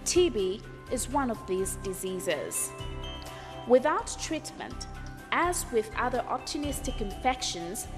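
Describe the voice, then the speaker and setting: A woman reading scripted educational documentary narration in a formal, instructional manner. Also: on the high side. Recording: reverberant sound under clear music. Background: background music.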